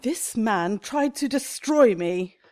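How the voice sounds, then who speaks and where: A woman's voice: a little high, lively intonation, takes it slowly, mellow, no room sound. A woman acting in a radio comedy as an indignant, anxious shop owner with a questioning, exasperated manner.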